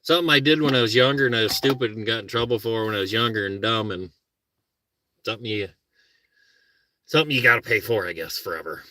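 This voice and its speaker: Chatty Minnesota man, bright dry sound. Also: lowish voice, quick pace, long pauses, no echo. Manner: rambling, self-deprecating, talks to viewers and his dog. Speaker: car-camping vlogger. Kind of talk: casual live chat. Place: parked car while car camping.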